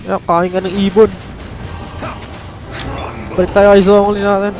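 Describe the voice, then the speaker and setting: A man's voice: sits high, completely flat delivery, moderate pace, a little mellow, soft background music. A man with a Filipino accent giving casual, joking, slangy reactions as he streams a video game. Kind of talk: casual gameplay commentary.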